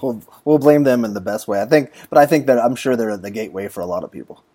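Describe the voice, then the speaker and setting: A man's voice: medium pitch, quick pace, mellow, husky, no echo. A man hosting a podcast in a casual, conversational interview style. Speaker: podcast host.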